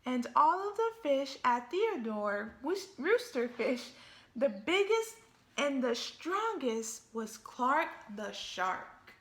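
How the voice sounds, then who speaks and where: A woman reading a children's picture book aloud expressively, thinking out loud and pausing to put questions to young listeners. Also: sits high, very animated, reverberant.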